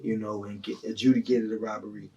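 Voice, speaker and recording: A man's voice: fairly low pitch, a little fast, husky, distant mic, highs cut off. A young man, a guest telling his story in casual slang full of fillers. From Delaware County, Pennsylvania. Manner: animated, heavy slang, lots of fillers.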